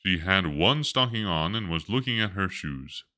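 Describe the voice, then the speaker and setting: A man reading a bedtime story aloud in an animated, expressive storybook style. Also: low-pitched, normal speed.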